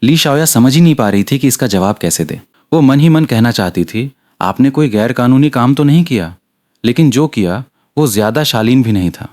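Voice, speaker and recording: A man's voice: mid-range voice, lively intonation, fairly quick, few pauses, darkish tone. A man reading a scripted story aloud as an audiobook narrator.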